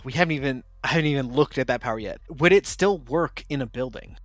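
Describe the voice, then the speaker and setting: A man's voice: mid-range voice, fast, over music. A man running a tabletop game as game master, talking in a loose, playful way with restarts.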